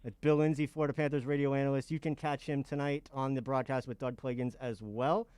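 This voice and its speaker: A man hosting a sports radio show, thanking a guest and reading quick, friendly plugs. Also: medium pitch, steady tone, darkish tone, clean tone, dry recording. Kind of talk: radio show host wrap-up and promos.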